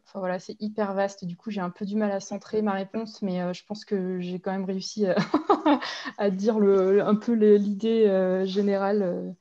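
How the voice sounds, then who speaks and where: A woman's voice: medium pitch, fairly even intonation, normal speed, dark tone, muffled recording. A woman, a guest artist, answering press questions on a video call, hesitant and full of fillers and restarts. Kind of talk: online press conference answers. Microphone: video call mic.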